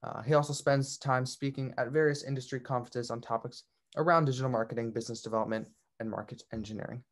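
A man's voice: mid-range voice, fairly even intonation, quick pace. A man hosting, reading out a formal introduction of a guest speaker.

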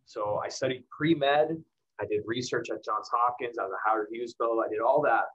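An American man giving a casual motivational talk as a guest speaker, candid and conversational with emphatic pauses. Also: medium pitch, a bit bright, highs cut off. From El Paso, Texas, United States. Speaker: guest speaker, marketing professional. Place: indoors in the evening, online livestream.

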